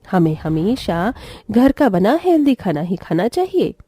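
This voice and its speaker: Woman playing a gentle, mellow village mother. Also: medium pitch, very animated, a little slow, slightly rough, no echo, studio quality. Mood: patient advice. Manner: patient, advising. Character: gentle, dutiful village mother and daughter-in-law.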